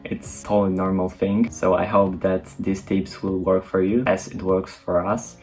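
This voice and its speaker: Polish teen guy, pretty deep voice, hosting a relaxed chat, faint music under it. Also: normal speed. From Poland. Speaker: video host. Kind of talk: casual discussion to camera.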